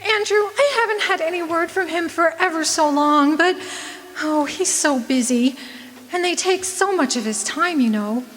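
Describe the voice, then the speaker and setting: A woman's voice: extremely high pitch, expressive, moderate pace, fairly bright tone, faint music behind. A woman playing a loyal, forlorn small-town girl in a radio drama, earnest and hesitant as she waits for her lover. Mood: hopeful, defensive. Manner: earnest, hesitant, making excuses. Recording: reverberant hall, faint noise.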